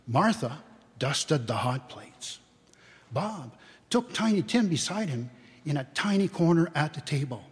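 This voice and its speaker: A man giving a dramatic, expressive storybook reading to a live audience in a large, echoing church. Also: a little high, breathy edge. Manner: theatrical, expressive, deliberate phrasing.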